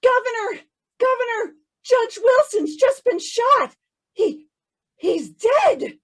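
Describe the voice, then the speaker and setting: A woman playing a panicked aide with shrill, drawn-out cries in a radio drama. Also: animated, full character voice. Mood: horrified panic. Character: panicked aide crying out at a shooting. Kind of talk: old-time radio drama acting.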